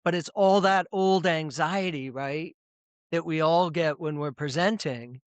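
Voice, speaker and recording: Middle-aged man, interview guest on a video call. Smooth, resonant voice and a bit high for a man. Loose, rambling delivery with lots of restarts. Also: measured pace, dry recording. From United States. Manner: rambling with frequent restarts and repeated words. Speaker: presentation coach, guest. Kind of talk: casual interview talk.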